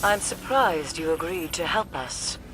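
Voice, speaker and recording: Solemn female occult expert for a video game: grave, deliberate, low-key menace-free formality, unhurried. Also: lively intonation, background noise. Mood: dry, disdainful. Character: stern, solemn mystic woman, cryptic occult expert.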